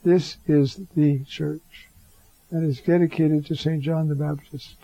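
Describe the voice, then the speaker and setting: Hoarse man giving a slow homily. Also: on the high side, level tone, mellow, no room sound, dull audio. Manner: slow and halting, many fillers. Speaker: priest. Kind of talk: reflective homily.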